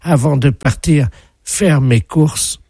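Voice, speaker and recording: A man's voice: a little high, slow pace, creaky, mellow, slightly rough, no room sound, studio quality. A man on a prank phone call, gushing with overly effusive praise. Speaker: prank caller.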